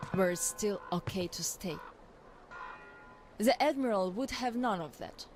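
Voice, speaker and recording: A woman's voice: medium pitch, slight background noise, close to an everyday voice, poor audio. An actress playing a tough, proud young woman survivor in a video game, confiding in a blunt, reflective way. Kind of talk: reflective scripted game monologue.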